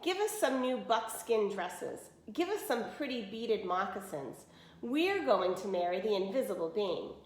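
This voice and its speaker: A woman's voice: medium pitch, sing-song, measured pace. A woman voicing two cruel, vain young sisters in a storybook, boastful, insistent and whiny. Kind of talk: storybook read-aloud. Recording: roomy, echoey indoor sound.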